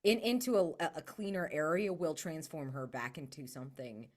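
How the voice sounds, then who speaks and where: A woman's voice: low-pitched, very animated, a little fast. A woman brainstorming casually as a player in a tabletop roleplaying game, informal and enthusiastic.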